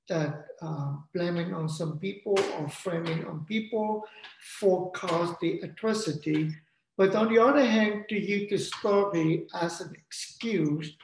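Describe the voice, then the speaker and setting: A middle-aged man with a Vietnamese accent, a composer speaking haltingly on a video call. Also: sits high, creaky, darkish tone. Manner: halting, searching for words. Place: home room. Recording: echoey room, faint noise.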